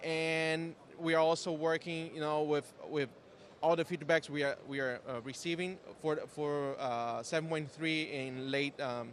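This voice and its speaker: Brief: Brazilian-accented man, slightly high voice, halting, founder interviewed at a trade show. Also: fairly even intonation. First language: Portuguese. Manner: halting, many restarts. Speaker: company founder and software director. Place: exhibition stand at trade show.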